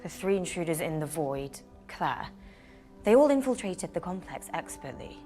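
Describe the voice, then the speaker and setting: An actress playing a brisk, composed official on a call, formal and measured, with music underneath. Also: female voice, fairly low pitch, big pitch swings, dark tone. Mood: composed, serious. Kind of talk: naturalistic film acting.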